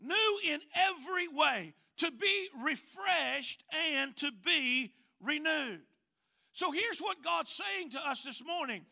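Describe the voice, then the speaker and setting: A man's voice: extremely high pitch, animated, gravelly, sharp tone. A man with a Mississippi accent preaching a sermon, stretching out scripture readings and calling on the congregation to respond. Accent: Southern US, Mississippi. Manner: drawn-out emphatic scripture reading, calls for responses.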